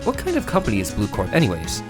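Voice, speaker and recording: A man's voice: fairly low pitch, wildly swooping pitch, quick pace, over music. A man voicing an eager young defense lawyer in a theatrical video game fan dub, dramatic, with wry, exasperated asides. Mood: probing.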